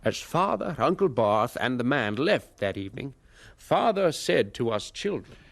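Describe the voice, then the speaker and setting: Reminiscing evangelist narrator for a religious audio drama, earnest, mid-range voice, no room echo. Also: male voice, medium pitch, very animated, measured pace, vocal fry, full character voice. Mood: earnest storytelling.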